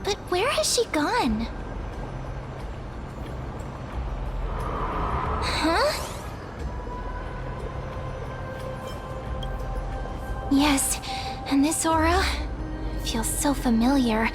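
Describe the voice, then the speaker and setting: A woman's voice: sits high, big pitch swings, slow, pauses often, music in the background, exaggerated voice. A woman voicing a small, cheerful but easily startled young girl in a video game, given to nervous stammers. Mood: curious, wary.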